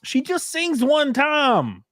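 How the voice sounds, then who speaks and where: A man's voice: extremely high pitch, huge pitch swings, slow, fairly bright tone, no room sound, clean studio sound. A man hosting a casual livestream, crude, joking and teasing. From Southern US. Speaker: streamer host.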